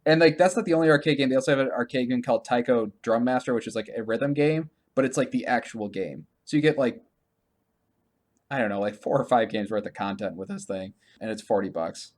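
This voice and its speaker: A man's voice: mid-range voice, brisk, a little mellow. A man hosting a video game podcast, chatting casually about gaming news in a rambling, stammering way and often repeating himself.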